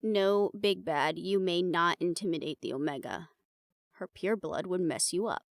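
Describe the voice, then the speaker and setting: A woman's voice: fairly low pitch, moderate pace, no echo, lightly acted. A woman playing an uptight, weary researcher in a fantasy audio drama, with a dry, exasperated way of talking. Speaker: voice actor in an audio roleplay. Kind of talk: fantasy audio drama roleplay, conversational acting.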